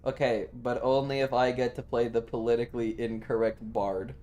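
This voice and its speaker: A man's voice: medium pitch, level tone, moderate pace, darkish tone. A man live streaming a game, chatting casually in a rambling, sweary way. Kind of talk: casual gaming stream chat. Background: game music.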